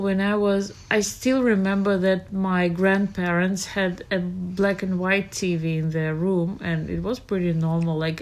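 A woman, answering interview questions about her childhood in an unhurried way, searching for her words. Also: medium pitch, a little mellow. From Russia. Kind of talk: casual childhood memories.

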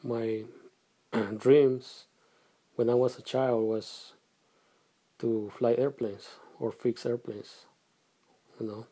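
A middle-aged man from Guatemala, answering questions in a casual family interview, halting and soft-spoken with pauses and restarts. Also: medium pitch, little pitch movement, breathy edge. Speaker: interviewee, father.

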